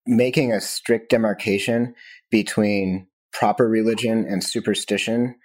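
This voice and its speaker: A man's voice: on the low side, steady tone, unhurried. A man hosting a podcast who asks long, thoughtful questions that wind through several ideas. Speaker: podcast host. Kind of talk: interview questions.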